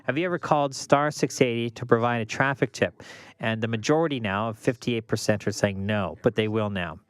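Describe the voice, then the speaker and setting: Chatty traffic reporter, dry booth. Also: male voice, medium pitch, fairly quick, clean tone, dry recording. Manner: chatty, rambling, reads viewer questions aloud. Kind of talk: casual live-stream chat with on-air traffic reports.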